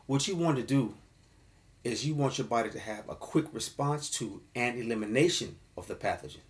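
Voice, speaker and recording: A man's voice: medium pitch, lively intonation, moderate pace. A man giving an informal explainer talk about an herbal formula, often checking in with the listener by asking "okay?" and calling the audience fam. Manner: casual, addresses listeners as fam.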